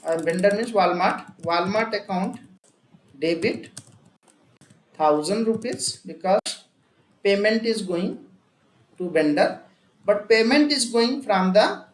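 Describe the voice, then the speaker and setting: An instructor with an Indian accent explains an accounting software example step by step, often repeating phrases for emphasis. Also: medium pitch, animated, takes it slowly, pauses often. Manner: repetitive, emphatic step-by-step explaining with frequent check words. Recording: echoey room with faint background noise.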